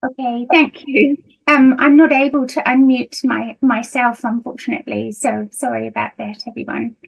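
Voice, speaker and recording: A woman's voice: fairly high pitch, little pitch movement, normal speed, creaky, husky, rough recording. A middle-aged woman from the United Kingdom with a British accent, giving a conference talk as a nursing lecturer over a video call, hesitant with many ums. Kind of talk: conference presentation.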